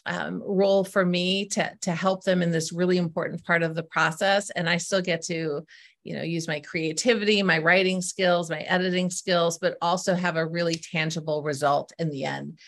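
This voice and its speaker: A woman's voice: fairly low pitch, steady tone, moderate pace, a bit bright. A middle-aged woman, a guest on a podcast, explaining her work as an essay coach in an earnest, step-by-step way. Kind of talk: explanatory interview answers.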